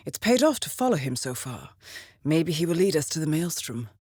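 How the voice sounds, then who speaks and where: An actress playing a composed, shrewd intelligence handler in a video game, giving mission briefings in a measured, precise and dryly wry way. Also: female voice, sits low, big pitch swings, a little mellow, slightly rough, no room sound, clean studio sound, full character voice. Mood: composed, intrigued.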